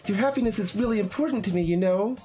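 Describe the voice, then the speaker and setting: A man's voice: high-pitched, fast, warm tone, soft background music, voice pushed up, lightly acted. A man voicing a cheerful, clingy, caring teenage girl from an anime visual novel, bubbly and pleading. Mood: worried pleading.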